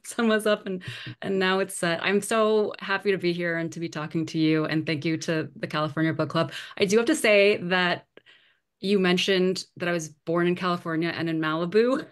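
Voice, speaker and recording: A woman in her thirties, from Malaysia, talks casually as a guest author on a video call. Her voice sits on the low side, and she is friendly and quick to laugh. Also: fairly even intonation, clear, resonant tone. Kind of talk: casual conversation. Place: at home in the evening.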